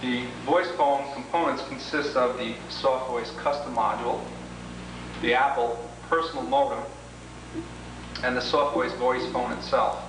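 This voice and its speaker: Male instructor, mid-pitched voice, methodical step-by-step demonstration, formal wording, heavily echoing room, noisy homemade 1980s video recording. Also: fairly even intonation, unhurried, distant mic. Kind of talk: instructional training-tape walkthrough.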